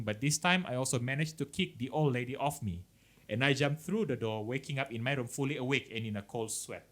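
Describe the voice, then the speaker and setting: Male podcast co-host, Malaysian accent, relaxed conversational storytelling, code-switches between English and Malay. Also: mid-range voice. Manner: mixes English with Malay, explaining as they go. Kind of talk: casual chat, reading out listener horror stories.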